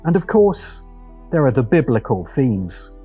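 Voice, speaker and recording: A man narrating a scripted video essay with dramatic, deliberate phrasing and weighty pauses. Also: mid-range voice, big pitch swings, mellow, slightly rough. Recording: music behind the voice. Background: music.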